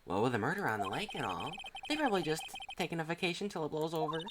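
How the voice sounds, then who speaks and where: A woman voicing a dry, weary young male defense lawyer in a video game, deadpan and musing. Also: low-pitched, quick pace, noisy background. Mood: resigned, musing.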